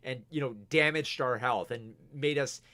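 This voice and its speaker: A man's voice: medium pitch, normal speed, bright, forceful tone, dry recording. A man hosting a casual film review show, rambling with many restarts while he is losing his voice. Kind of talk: casual video review, reading viewer comments.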